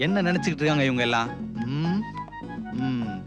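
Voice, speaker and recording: A man's voice: a little high, lively intonation, brisk, music in the background, lightly acted. A man playing a vain, boastful film director in a comic television serial, pompous in manner. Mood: indignant.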